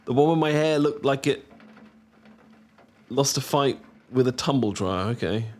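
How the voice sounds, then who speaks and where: A man's voice: mid-range voice, animated. Young british guy doing cosy boyfriend roleplay, chatty and teasing, kinda shy and stumbly. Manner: gently teasing, playful, affectionate; halting, bashful hesitations. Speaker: audio roleplay performer. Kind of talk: intimate boyfriend roleplay, scripted to sound like casual chat to the listener.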